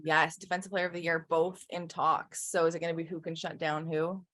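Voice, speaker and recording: A woman's voice: deep voice, level tone, fairly quick, fairly bright tone. A woman hosting a casual sports interview, talking in a direct, probing way. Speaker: sports show host, interviewer.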